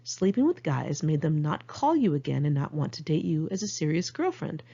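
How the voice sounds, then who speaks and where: A woman's voice: deep voice, sing-song, moderate pace, vocal fry, dark tone, lo-fi sound. An American woman reading her own memoir aloud in a candid, conversational, sardonic way. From United States, Los Angeles area. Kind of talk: first-person memoir narration, read aloud in one take.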